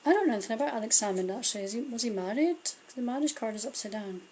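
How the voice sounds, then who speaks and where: A woman's voice: fairly high pitch, expressive, racing, dark tone, a little hiss or hum. A Scottish woman doing a casual live-stream tarot reading, thinking aloud and trailing off as she turns the cards. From Scotland. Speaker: tarot card reader. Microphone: phone or webcam on a live stream.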